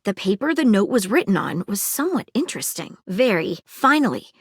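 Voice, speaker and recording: A woman's voice: mid-range voice, sing-song, a little fast, husky, dry recording, clean studio sound. A woman playing a curious, precise female detective in a video game, articulate and inquisitive. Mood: pondering, analytical. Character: living magnifying glass detective woman, keen, curious, precise, flirtable. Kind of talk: video game character acting.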